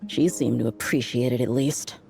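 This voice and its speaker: A woman's voice: a bass voice, fairly even intonation, normal speed, vocal fry, darkish tone, faint music behind. A woman playing an easygoing, casual mercenary band leader in a video game, with breezy informal speech. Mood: casual, sheepish. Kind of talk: video game character dialogue.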